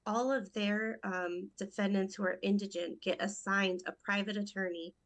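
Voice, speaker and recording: Gravelly-voiced woman guest from Rochester. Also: medium pitch, fairly even intonation. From Rochester, New York. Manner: earnest, detailed, quick in stretches. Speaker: judicial candidate, guest. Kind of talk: casual interview talk.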